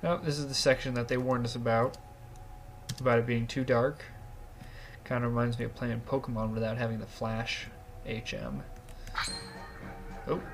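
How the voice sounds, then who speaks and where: A young man with a starting cold, a bit sniffly, chatting easygoingly as he hosts a game playthrough from a small quiet closet. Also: mid-range voice, little pitch movement, moderate pace, long pauses. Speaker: let's play host. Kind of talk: casual gameplay commentary. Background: faint swing game music.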